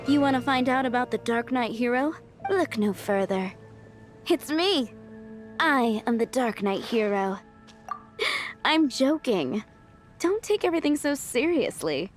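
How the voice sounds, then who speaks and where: A woman's voice: on the high side, expressive, unhurried, long pauses. A woman playing a playful, self-possessed young tavern owner in a video game, with a breezy, teasing manner. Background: music.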